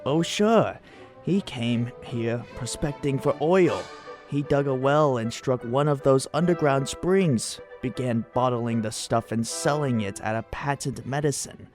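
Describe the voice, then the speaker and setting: A man's voice: medium pitch, a little slow, darkish tone, soft background music, lightly acted. A man playing a gossipy, folksy small-town local in a radio drama, chatting colloquially with amusement. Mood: amused, gossipy.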